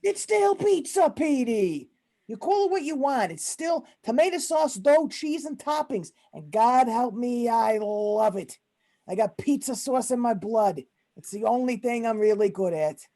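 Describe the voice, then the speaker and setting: An irritable Italian-American mob boss acted by a woman for a radio comedy play. The voice is on the high side and swings a lot in pitch, with bossy, exasperated wiseguy talk. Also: expressive, unhurried, mellow. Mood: defensive. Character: irritable tough Italian-American male mob boss, secret pizza lover. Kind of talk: amateur old-time radio comedy play.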